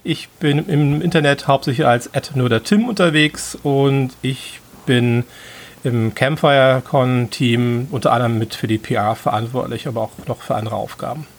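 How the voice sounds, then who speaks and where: A man in his forties, a guest on a podcast. He talks modestly in a middle-pitched voice and often pauses to find words. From Hamburg, Germany. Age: 40s. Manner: modest, hesitant, searching for words. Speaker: guest, convention organiser. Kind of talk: casual podcast conversation.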